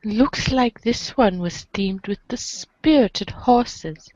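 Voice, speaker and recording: A woman's voice: mid-range voice, takes it slowly, a little mellow, no echo, lo-fi sound. A woman reading a comic story aloud on a group podcast. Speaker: podcast reader. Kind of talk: dramatic reading of a webcomic.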